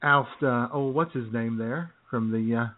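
A man's voice: medium pitch, unhurried. Man bantering over a tinny phone line. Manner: chuckles often, wry. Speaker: co-host of a political comedy radio show. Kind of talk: casual joking banter. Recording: tinny phone-line internet radio audio.

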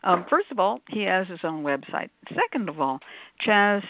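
A woman hosts a casual radio talk show over a thin, phone-like connection. She is chatty and effusive, dropping emphatic asides as she goes, at an average pitch for a woman. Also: sing-song, moderate pace, dry recording. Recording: phone-like, narrow-band sound.

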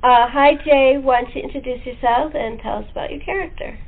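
A woman's voice: sits high, fairly quick. A woman hosting a casual interview, chatty and giggly, over a muffled, tinny line. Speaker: talk show host.

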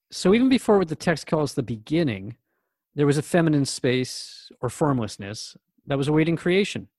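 Inquisitive man, slightly high, dry sound. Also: darkish tone, no room sound. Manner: inquisitive, thinking aloud. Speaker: radio host. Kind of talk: scripted radio drama dialogue, questioning.

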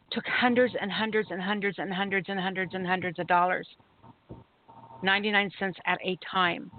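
A chatty woman tells a story over a call-in line, her voice thin and phone-like with a faint hiss behind it. She talks in an animated, casual way and laughs easily. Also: medium pitch. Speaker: caller sharing a story.

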